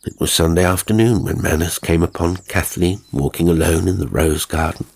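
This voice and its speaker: A man's voice: low voice, normal speed, creaky, darkish tone. A man narrating a dramatic horror story as an audiobook.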